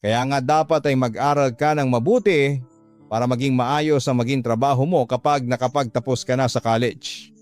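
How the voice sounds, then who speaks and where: A man's voice: medium pitch, steady tone, normal speed, a little mellow, soft background music, natural voice. A man playing a frank, earnest young working-class older brother who admonishes and confides in a radio drama. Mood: frank confession.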